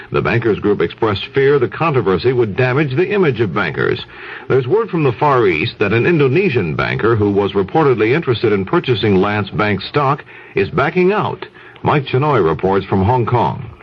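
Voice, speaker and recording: American newsman with lively, slightly husky delivery. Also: fairly low pitch. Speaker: radio news anchor. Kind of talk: scripted news reading. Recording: dull, muffled 1970s radio broadcast.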